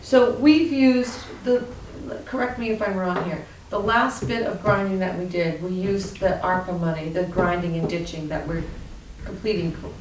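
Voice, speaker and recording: Brisk board member, a woman in an echoey hall, heard from a distance. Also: lowish voice, noisy background. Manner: brisk, asks questions. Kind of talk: meeting discussion. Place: town meeting hall. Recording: echoey room, far from the mic.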